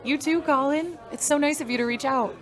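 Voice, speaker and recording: An actress playing a friendly, easygoing uploaded human mind, speaking in a casual, chatty way in a sci-fi radio drama. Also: female voice, sits high, fairly quick, a crowd in the background, lightly acted. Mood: friendly, candid. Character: friendly, easygoing female uploaded mind running a general store.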